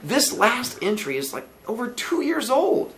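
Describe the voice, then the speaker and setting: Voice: mid-range voice, very animated, unhurried, fairly bright tone, slight background noise, pitched above the performer's own voice. A reader voicing an earnest, polite young Englishman from a story, puzzled and insistent, speaking eagerly with hesitant, emphatic phrasing.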